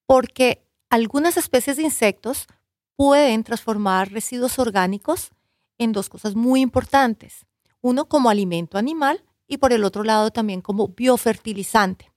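A Colombian professor speaks in an earnest, explanatory manner, pausing now and then to find her words. Her voice sits a little high, and the recording is dry, with no room sound. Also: darkish tone, no room sound. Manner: earnest, explanatory, with some hesitations. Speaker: researcher and university professor. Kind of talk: interview answers.

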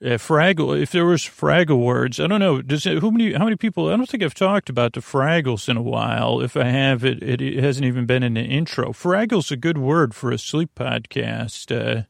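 A man with a creaky voice hosting a sleep podcast, meandering and halting as he restarts his phrases. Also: medium pitch, no echo, clean studio sound. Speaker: podcast host. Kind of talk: rambling sleep podcast monologue.

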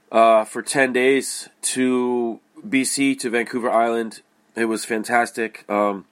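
A man with a stuffy, congested voice hosting his podcast in a rambling, casual way, full of fillers and restarts. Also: fairly low pitch. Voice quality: nasal, stuffy. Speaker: podcast host. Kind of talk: casual solo podcast monologue.

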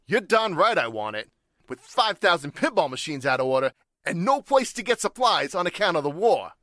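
A man's voice: sits high, big pitch swings, moderate pace, sharp tone, dry recording, studio quality, exaggerated voice. An actor playing a shady, tough, easily riled racketeer with slangy tough-guy talk in a 1940s radio crime drama. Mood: blunt, cagey.

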